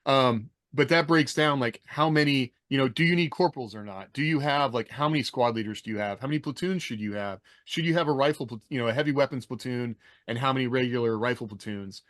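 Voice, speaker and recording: A man's voice: mid-range voice, fast talker, few pauses, fairly bright tone, no echo. A man with a mid-range voice, recorded dry. He explains things casually and takes a roundabout path through each thought, as in a panel discussion. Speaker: podcast panelist, former army officer.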